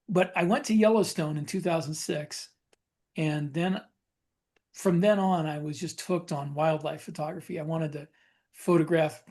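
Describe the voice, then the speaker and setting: A man with a slightly high voice talks casually as a podcast co-host. He rambles through personal anecdotes, often halting and correcting himself as he goes. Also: a little mellow.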